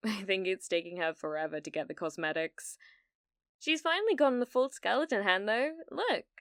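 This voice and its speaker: A woman plays an obsessive young British gamer in an ASMR roleplay. Her mid-pitched voice is smooth and crisp, recorded very dry. She stammers and hesitates with a nervous chirpiness, sounding needy and delusional while staying blithely casual about dark things. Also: expressive, normal speed, no room sound. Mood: chirpy, unsettling.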